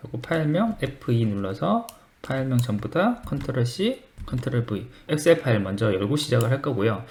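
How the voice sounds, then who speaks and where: A screencast tutor with a mid-pitched voice and animated intonation. The delivery is methodical and explanatory, thinking aloud through each step while working at a computer. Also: slight background noise. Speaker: software tutorial presenter.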